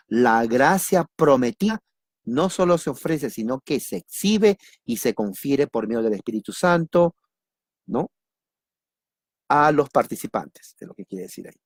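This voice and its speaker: A man from Peru with a Peruvian accent, teaching doctrine in a sermon, repeating key words and asking and answering his own questions, with a lively, joking manner. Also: medium pitch, no echo, clean studio sound. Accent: Peruvian Spanish. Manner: lively, jokes with listeners. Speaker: preacher.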